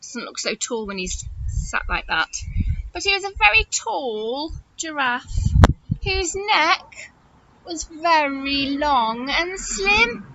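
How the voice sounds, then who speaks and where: A woman reading a story aloud to young children like a nursery teacher, in an animated, exaggerated manner, often putting questions straight to them. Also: high voice, slow, muffled recording, background noise.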